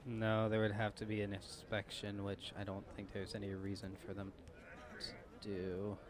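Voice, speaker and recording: A man working as game master, improvising narration and rules for a tabletop game while thinking aloud in a halting way. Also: lowish voice, monotone.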